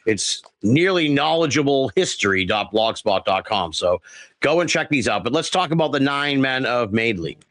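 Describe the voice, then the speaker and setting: A man's voice: mid-range voice, animated, normal speed, fairly bright tone, no echo. A man hosting a podcast, chatting casually and informally with a guest. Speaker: podcast host. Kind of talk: casual interview chat.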